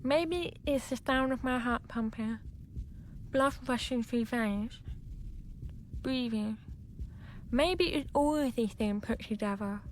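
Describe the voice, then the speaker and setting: An introspective girl in a reflective radio monologue. She speaks slowly and pensively in a fairly high voice, over faint background noise. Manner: measured, pensive. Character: introspective deaf girl narrating her inner thoughts.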